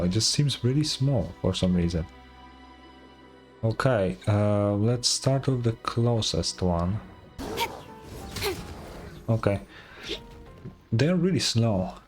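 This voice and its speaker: A man streams a game with casual commentary. His voice is fairly low and takes its time, with faint music behind him. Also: a little mellow. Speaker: streamer voicing game dialogue.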